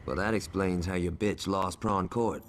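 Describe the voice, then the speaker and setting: A man's voice: sits low, moderate pace, close to an everyday voice. A man playing a cocky, taunting, wisecracking gangster in a video game. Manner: taunting, swaggering. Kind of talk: video game cutscene acting. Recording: clear background noise.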